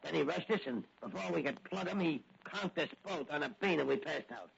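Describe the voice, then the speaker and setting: A man's voice: a little high, expressive, brisk, creaky, slight background noise. An actor playing a nervous, dim gangster henchman who talks in deferential tough-guy slang in a 1940s radio serial. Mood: nervous, excuse-making. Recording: muffled old 1940 radio recording.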